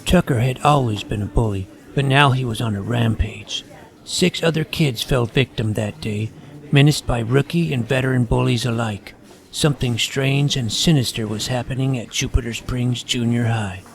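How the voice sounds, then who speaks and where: An actor playing a nerdy, earnest junior high schoolboy in a comedic audio drama, talking in a precise, wordy way. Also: male voice, normal speed, gravelly, close to an everyday voice. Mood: earnest narrating. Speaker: narrator and lead character.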